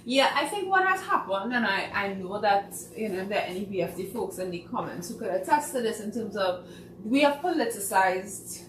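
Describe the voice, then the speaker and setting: A woman's voice: medium pitch, recorded from a distance, a little hiss or hum. Trinidadian woman, off-mic in an echoey room. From Trinidad and Tobago. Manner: animated, laughing, mimics people. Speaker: guest. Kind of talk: casual talk show chat.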